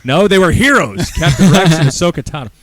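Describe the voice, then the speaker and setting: An excitable man trading laughs and curses with his co-hosts, his pitch leaping around as he talks. He sometimes reads gift notes aloud in a plainer tone. Recorded casually in a garage. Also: brisk. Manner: excitable, swears freely, laughs often. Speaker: podcast co-host. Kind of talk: casual chat among friends, reading gift notes aloud.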